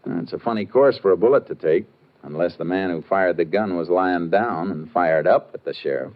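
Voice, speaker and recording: An actor playing a seasoned, plain-spoken Texas Ranger detective with an American accent in 1950s radio drama style. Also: male voice, low-pitched, brisk, close to an everyday voice. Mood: firm, analytical. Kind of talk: 1950s radio drama acting and first-person narration. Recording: muffled 1950 radio drama recording.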